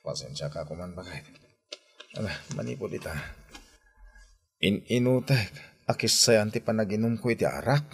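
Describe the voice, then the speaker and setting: An actor playing a carefree, stubborn, hard-drinking husband in a radio drama, with an Ilocano Filipino accent. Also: male voice, on the low side, expressive, normal speed, creaky, long pauses, breathy edge, full character voice. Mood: apologetic. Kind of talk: Philippine radio drama acting.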